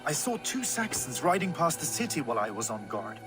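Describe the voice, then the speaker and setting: A man's voice: fairly high pitch, very animated, fairly quick, over music. A man voicing a casual, matter-of-fact town guard in a video game. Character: matter-of-fact male Viking town guard giving a report. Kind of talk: game voice acting.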